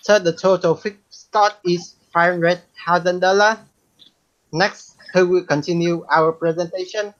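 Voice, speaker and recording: Fast-reading student, lo-fi sound. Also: male voice, high voice, steady tone, fairly bright tone. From Vietnam. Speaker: student presenter. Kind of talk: class presentation. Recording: dull lo-fi sound.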